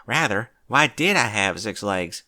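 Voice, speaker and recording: A man's voice: on the low side, expressive, measured pace, bright, forceful tone, exaggerated voice. A man voicing a folksy, skeptical female farm pony with a Southern US drawl in a cartoon-style story reading. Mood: baffled. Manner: drawling country talk, plain-spoken.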